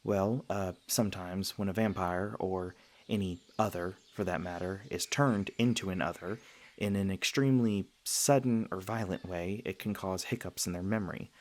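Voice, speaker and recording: A low-pitched, soft-hearted male vampire, played by a man, speaks closely to one listener in an ASMR roleplay. He is earnest and self-conscious, often hesitating or stammering as he gently explains himself. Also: normal speed, natural voice. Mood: hesitant, somber. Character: gentle, self-conscious male vampire, century old but youthful, sweetly flustered.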